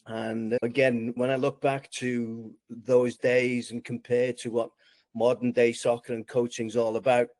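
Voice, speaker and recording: Welsh man in his sixties, measured. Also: mid-range voice, a little mellow, dry recording. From Wales. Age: 60s. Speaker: podcast guest. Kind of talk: relaxed interview storytelling.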